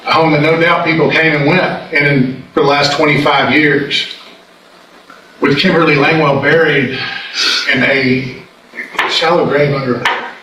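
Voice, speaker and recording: A man's voice: on the high side, steady tone, unhurried, long pauses, pushed and bright. A man arguing as a prosecutor in court, speaking in an emphatic, condemning manner. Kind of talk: courtroom closing argument. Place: indoor courtroom. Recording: echoey courtroom, distant mic, background noise.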